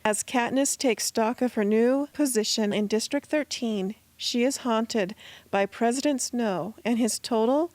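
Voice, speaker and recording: A woman's voice: on the high side, unhurried, darkish tone, no room sound. A woman giving scripted story narration as the narrator of a radio drama.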